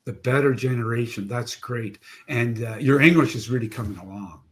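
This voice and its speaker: A man's voice: mid-range voice, normal speed, crowd noise. Older guy hosting a video call chat, friendly, jokes around, mid voice. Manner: friendly, chatty, joking asides. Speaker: show host. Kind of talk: casual interview hosting. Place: home office with framed certificates, indoors.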